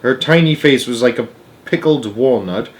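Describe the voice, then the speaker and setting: A man narrates a children's book aloud in an expressive amateur style, sometimes tripping over words and correcting himself. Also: medium pitch, normal speed.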